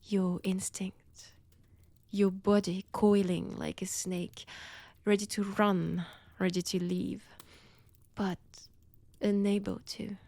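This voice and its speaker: A breathy female vampire with a French accent, played by a woman, speaks in unhurried, deliberate phrases. She is seductive and teasing with quiet menace, often slipping toward a whisper. The audio roleplay sounds intimate, close and very dry. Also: mid-range voice, flat delivery, dry recording. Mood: seductive, commanding. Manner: slow, teasing, deliberate delivery. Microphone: close, dry microphone.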